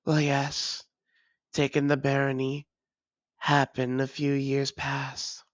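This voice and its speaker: An actor playing a weary, guarded vampire and long-lost lover, speaking in halting, formal phrases in an intimate roleplay audio drama. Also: medium pitch, monotone, dry recording, close to an everyday voice. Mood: firm, measured. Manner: measured, halting, formal old-fashioned phrasing.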